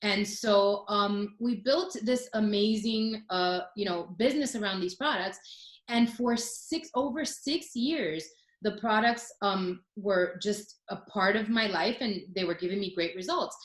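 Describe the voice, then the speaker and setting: A woman's voice: medium pitch, unhurried, reverberant, rough recording. A woman in her forties telling her story as a guest in a casual interview, chatty, with many fillers and restarts. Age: 40s.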